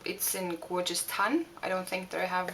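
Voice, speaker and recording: A young woman from Norway with a Norwegian accent, talking through a makeup tutorial with many pauses and restarts. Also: fairly low pitch, fairly even intonation, fairly bright tone, slight background noise. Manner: hesitant, many fillers and restarts. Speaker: beauty vlogger. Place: home room.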